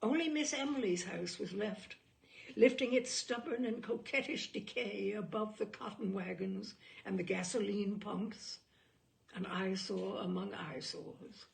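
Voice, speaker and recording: A woman reading a short story aloud in an expressive literary narration, with deliberate, dramatic phrasing and pauses. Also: mid-range voice, gravelly.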